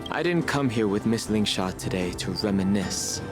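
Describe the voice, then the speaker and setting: A man's voice: on the low side, animated, moderate pace, natural voice. An actor playing a guarded exiled young man in a video game, restrained, serious and coolly stoic. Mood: cold, guarded. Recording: game music behind the voice.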